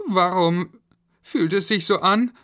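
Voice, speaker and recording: A man voicing excited, mischievous young children in a children's story. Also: high-pitched, slow pace, pitched above the performer's own voice. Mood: alarmed. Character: group of excited, mischievous young children, two boys and a girl.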